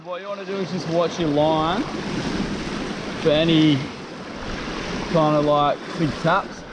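A young Australian man on a fishing trip, relaxed and chatty with easygoing slang. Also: fairly high pitch, unhurried. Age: young adult. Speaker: fishing mate, guest. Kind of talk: casual outdoor how-to. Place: ocean beach outdoors, in the shallows. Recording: loud surf noise behind.

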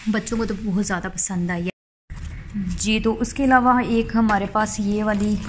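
A woman's voice: medium pitch, steady tone, normal speed. A chatty woman, rambling through a home review as she films on her phone. Her talk is loose and full of fillers, with a faint hiss of noise underneath. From Pakistan. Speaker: home vlogger. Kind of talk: casual home review vlog. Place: rooms of a home, indoors. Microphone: phone camera held by the speaker, off-screen.

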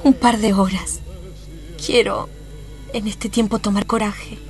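An actress playing an anxious, secretive young sweetheart in a tango radio play, pleading dramatically with an Argentine accent. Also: female voice, medium pitch, slow. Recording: music behind the voice.

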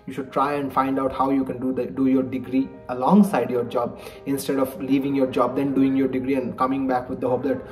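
A man's voice: mid-range voice, little pitch movement, brisk, faint music behind, rough recording. A young man in his twenties from India, a native Bengali speaker with an Indian English accent, earnestly explaining in a personal advice vlog from a study at home. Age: 20s. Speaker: vlogger, college lecturer. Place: home study lined with bookshelves, indoors. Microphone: webcam-style framing, head and shoulders.

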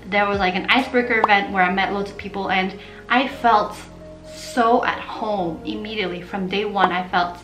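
A young woman from Portugal talks straight to the camera in a loose, chatty way, animated and quick to gesture. Her voice sits at an everyday middle pitch. The small room around her rings a little, with soft music under the talk. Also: echoey room, poor audio. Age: young adult. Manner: chatty, animated, gestures with hands while talking. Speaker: vlogger. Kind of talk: casual talking-head vlog.

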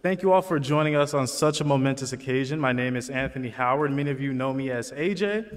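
A man's voice: mid-range voice, quick pace. A young man from Chicago giving a student commencement speech at a lectern on a large auditorium stage, earnest and chatty with asides to the crowd. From Chicago, USA. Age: young adult. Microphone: lectern microphone. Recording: long hall reverb.

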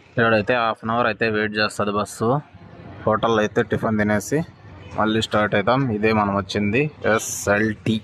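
Man, low flat voice, chatty travel vlogger, phone audio with road noise. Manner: chatty, addresses viewers as friends. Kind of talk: casual travel vlog narration. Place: on a bus and at bus stands, outdoors.

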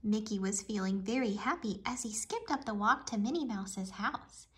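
A woman reading a children's storybook aloud with expressive, deliberate storytelling. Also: mid-range voice. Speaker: storybook reader. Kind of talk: children's read-aloud narration.

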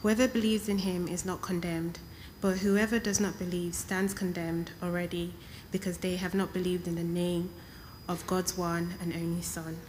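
Woman reading scripture, lowish voice. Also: flat delivery, moderate pace, a little mellow, slight background noise, rough recording. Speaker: scripture reader. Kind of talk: reading a Bible passage aloud. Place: church, indoors. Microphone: stand mic.

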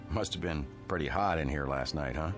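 An actor playing a suspicious, blunt brother-in-law who keeps probing and accusing, in a 1960s TV drama. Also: male voice, extremely low pitch, moderate pace. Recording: vintage TV soundtrack with music underneath.

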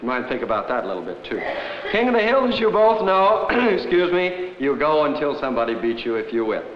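A man with an American accent commentating and hosting a televised bowling match in 1950s style, with folksy, excitable turns of phrase. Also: on the high side, a little fast. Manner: excitable, folksy exclamations on good shots. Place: bowling alley. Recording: dull, noisy 1950s television soundtrack.

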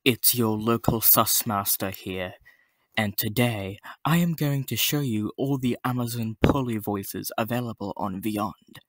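Synthetic male host voice, husky and dry. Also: fairly low pitch, measured pace, no room sound. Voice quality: synthetic text-to-speech voice. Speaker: channel host. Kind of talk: casual video intro.

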